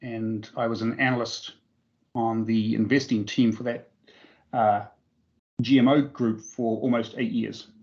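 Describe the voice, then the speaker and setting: A middle-aged man with a New Zealand accent speaks as an interview guest. His voice is medium in pitch and his phrasing is measured and a little halting. The recording is slightly dull and lo-fi. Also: fairly even intonation, darkish tone. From Auckland, New Zealand. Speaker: interview guest, forestry investment professional. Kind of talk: conversational interview answers.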